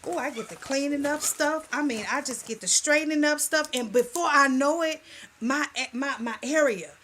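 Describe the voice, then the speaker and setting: A woman hosts a radio talk show with a high, bright voice. She talks in a soft, playful and sassy way, teasing her guest and chatting with listeners. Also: normal speed, slight background noise. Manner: lively, playful, sassy, calls listeners "girl" and "y'all". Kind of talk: casual radio talk chat.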